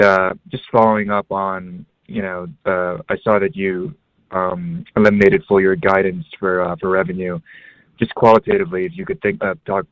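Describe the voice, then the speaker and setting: A man calling in as an analyst, asking questions in halting, spontaneous phrasing. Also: sits low, vocal fry, no room sound. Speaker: analyst caller. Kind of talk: spontaneous question on earnings call. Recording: dry narrow phone line.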